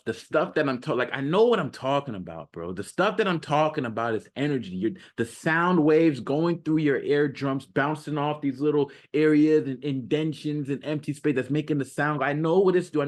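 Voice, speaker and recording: A man giving an unscripted motivational monologue as a self-improvement coach, talking in an emphatic, repetitive, slangy and casual way. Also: a little high.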